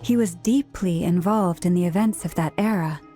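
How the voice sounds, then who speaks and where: An actress voicing a serious, reserved young woman in a video game, speaking in a measured, formal way. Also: lowish voice, dark tone, faint music behind, lightly acted. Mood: calm, earnest. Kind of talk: English video game dub acting.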